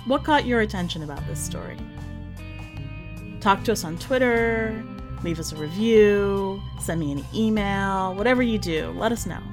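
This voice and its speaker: A woman reading in a measured, expressive way, as a podcast host presenting and narrating short fiction. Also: mid-range voice, music in the background. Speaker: podcast host and story reader.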